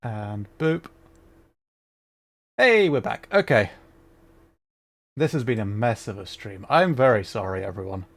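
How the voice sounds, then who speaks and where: A man's voice: lowish voice, lively intonation, a little slow, pauses often, fairly bright tone. A man hosting a gameplay livestream with casual, chatty, self-deprecating commentary. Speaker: livestream host.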